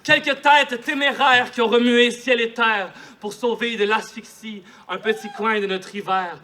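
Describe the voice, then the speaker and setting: High-pitched Quebecois man reciting poetry. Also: pushed and bright. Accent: Quebec French. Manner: impassioned, declamatory, colloquial. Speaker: poet. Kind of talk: spoken-word poetry recited at a public celebration. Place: outdoors in a park.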